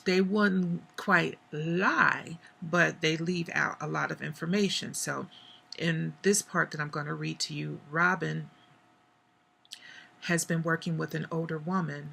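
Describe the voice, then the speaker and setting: A hoarse woman reads from her own novel in a chatty, unpolished way, pausing between phrases. Her voice is gravelly and husky, with a bright edge. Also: low-pitched. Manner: chatty, with pauses and fillers. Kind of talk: casual book reading.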